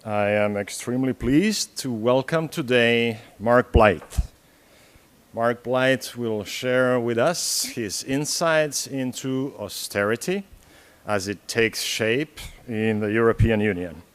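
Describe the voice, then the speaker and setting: A man hosting a talk, reading a formal introduction of the guest from notes in a measured way. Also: mid-range voice. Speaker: host introducing a speaker. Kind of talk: formal introduction read aloud.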